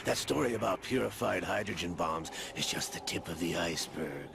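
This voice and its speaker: An actor playing a smug, cruel older gunslinger villain in a video game, gravelly, theatrical and condescending, with drawn-out emphasis. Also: male voice, deep voice, animated, noisy background. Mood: taunting, triumphant. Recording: loud dramatic score behind. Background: cinematic game music.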